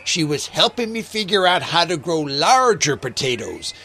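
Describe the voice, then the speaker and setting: A man's voice: on the high side, big pitch swings, normal speed, pushed and bright, slight background noise, heavily acted. A man playing a defensive, haughty rival potato grower in a comic audio drama.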